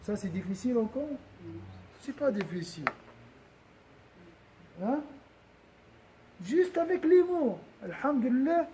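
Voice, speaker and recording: High-voiced man teaching a religious lesson. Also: lively intonation, slow pace, long pauses, mellow, a little hiss or hum, rough recording. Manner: questions the audience often, repeats and restarts phrases.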